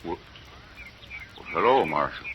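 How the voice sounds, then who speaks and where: An actor playing a troubled, guarded frontier homesteader with a halting, intense delivery in an old radio western. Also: male voice, deep voice, expressive, muffled recording. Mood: bitter, guarded. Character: brooding, troubled homesteader husband, guarded and protective. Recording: noisy old radio recording.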